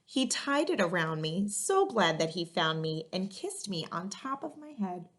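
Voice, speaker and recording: A woman hosting a children's read-aloud, speaking in clearly phrased storytelling style. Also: mid-range voice, sing-song, a little slow. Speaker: museum educator and read-aloud host. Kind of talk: reading a children's picture book aloud.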